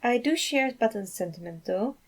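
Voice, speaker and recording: A studious, formal man, read by a woman in a fantasy story. He is calm and quietly kind, choosing words in a measured, precise way. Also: fairly high pitch. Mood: kindly formal.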